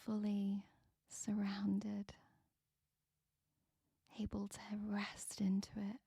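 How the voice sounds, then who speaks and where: Woman whispering soft meditation, gravelly breathy tone, flat and calm. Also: medium pitch, no echo, clean studio sound. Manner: soft unvoiced whisper, slow and soothing. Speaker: meditation guide.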